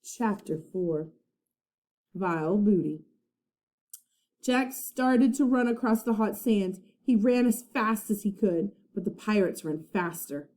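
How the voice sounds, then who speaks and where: A woman reading a children's storybook aloud like a teacher, with expressive, dramatic narration. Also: medium pitch, takes it slowly.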